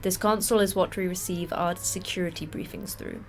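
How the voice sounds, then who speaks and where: An actress playing a terse woman station manager in a sci-fi audio drama, brusque and dryly sarcastic in a deadpan way. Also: fairly low pitch, a little fast, slight background noise, natural voice. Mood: brisk, businesslike. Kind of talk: audio fiction podcast acting.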